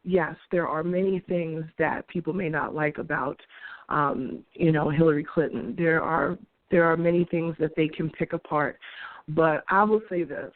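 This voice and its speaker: A woman's voice: sits low, fairly even intonation, moderate pace, no echo. A woman in her forties from New York, hosting and giving her views in an earnest, conversational monologue with restarts and light laughs. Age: 40s. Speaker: host. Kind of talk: opinion monologue. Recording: tinny phone-like dry sound.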